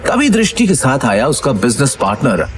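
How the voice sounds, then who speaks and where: A man's voice: medium pitch, wildly swooping pitch, moderate pace, faint music behind. A man narrating a Hindi audio drama in a dramatic, scripted storytelling style.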